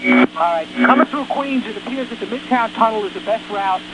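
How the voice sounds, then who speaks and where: A man's voice: high voice, sing-song, moderate pace. A man working as a fire dispatcher, giving procedural directions over a two-way radio. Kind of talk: emergency radio dispatch traffic. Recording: noisy emergency radio traffic, loud hum.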